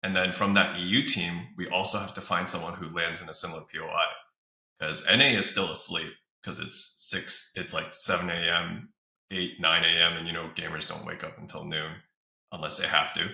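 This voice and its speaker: A man's voice: low voice, little pitch movement, normal speed, creaky, bright, forceful tone. A man giving casual interview answers as an esports organizer, recorded in a room with some echo. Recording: roomy echo.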